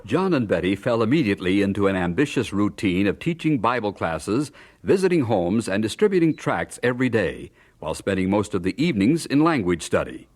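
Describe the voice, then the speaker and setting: A man's voice: lowish voice, sing-song, brisk. A man with an American accent reading scripted narration as the narrator of a radio drama.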